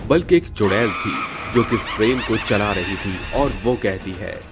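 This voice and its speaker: A man's voice: fairly low pitch, lively intonation, measured pace, loud music behind the voice. A man narrating a scripted horror cartoon story in a dramatic storytelling manner.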